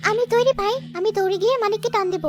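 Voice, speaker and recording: Woman acting young cartoon village girl, piping high voice, fast, earnest, caring. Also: fairly even intonation, over music. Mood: alert eager. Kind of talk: animated cartoon story acting.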